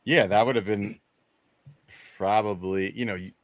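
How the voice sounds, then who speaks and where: A man's voice: fairly low pitch, steady tone, normal speed, crowd noise. A man talking casually and enthusiastically as a rambling panelist on a movie discussion show.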